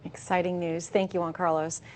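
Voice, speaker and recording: A woman anchoring local TV news, reading stories in a crisp, even newsreader delivery from the studio. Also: on the low side, moderate pace. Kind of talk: read local TV news copy.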